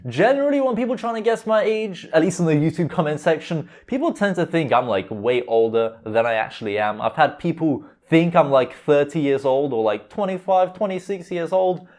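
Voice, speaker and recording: A man of about twenty speaks in a voice pitched a little above average for men. His accent is mostly British, mixed with hints of American and Lithuanian. He talks like a YouTuber answering questions, informal and full of slang and filler words like a chat with mates. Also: lively intonation, fairly quick, hardly any pauses, a little mellow. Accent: mostly British with slight American and Lithuanian tinge. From Lithuania, later moved to the UK. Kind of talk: casual Q&A vlog chat.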